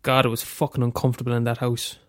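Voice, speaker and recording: A man's voice: mid-range voice, completely flat delivery, fast, a bit bright, clear, resonant tone, no echo, studio quality. A young Irish man with a head cold, reading aloud a short story he wrote, after a hesitant casual introduction. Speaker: amateur storyteller.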